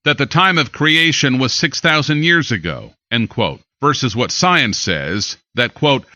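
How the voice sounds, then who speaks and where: Bright, animated American male news anchor. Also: medium pitch, dry recording, lo-fi sound. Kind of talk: scripted news narration.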